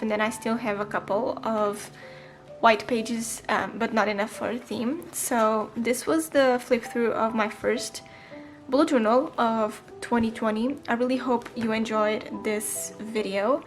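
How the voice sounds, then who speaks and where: Woman, 20, casual vlog voice-over; slightly high, chatty, hesitant ums; faint music bed. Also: steady tone, measured pace. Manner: chatty, frequent ums and self-corrections. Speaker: planner vlogger. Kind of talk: casual voice-over flip-through.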